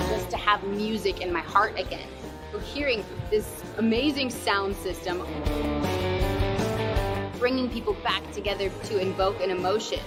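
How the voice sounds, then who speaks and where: An American woman, a country singer, speaking in a promotional interview. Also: medium pitch. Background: loud music with guitar.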